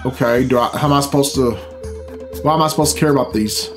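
A man's voice: medium pitch, fast talker. A man, giving blunt, grumbling, sweary commentary as host of a reaction video. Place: small furnished room at home, indoors. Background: loud game cutscene music.

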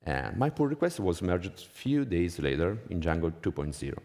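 Male conference speaker, thirties, low voice, Italian accent, measured prepared delivery, long hall reverb. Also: big pitch swings, darkish tone. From Italy. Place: conference hall stage, indoors.

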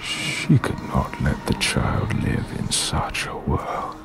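A man voicing an ominous ancient spirit in a video game, telling dark myths slowly and portentously in a breathy, gravelly half-whisper. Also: heavy background noise, lightly acted. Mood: grave, sorrowful. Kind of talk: solemn dramatic storytelling in a video game. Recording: voice under loud music.

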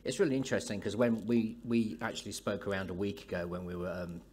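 A man's voice: fairly low pitch, steady tone, fast, darkish tone, slight background noise. A man hosting an on-stage conference interview, chatty and loose with his ums, through a headset mic. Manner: chatty, friendly banter with ums. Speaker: interviewer, host. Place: stage of a large conference hall.

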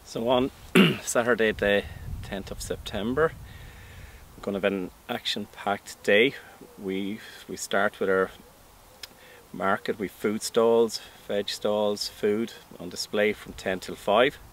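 A man in his fifties from Ireland talks in a fairly low voice, plain and matter-of-fact as he runs through details. He stands in an open vegetable field. A faint outdoor hum with distant traffic sits under his voice. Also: fairly even intonation, takes it slowly. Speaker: organic farmer. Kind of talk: outdoor interview. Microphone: camera mic, standing interview.

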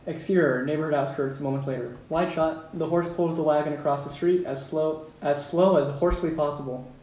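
Guy narrating a script table read, bit high voice, echoey and far from mic. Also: steady tone, brisk, a little mellow. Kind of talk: table read of stage directions. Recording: echoey room, distant mic, background noise.

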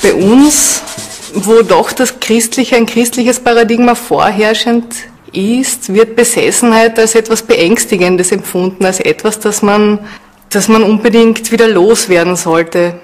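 A woman's voice: medium pitch, a little slow, darkish tone. A woman speaking as an interviewed expert, explaining her field in spontaneous talk. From Austria. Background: background music.

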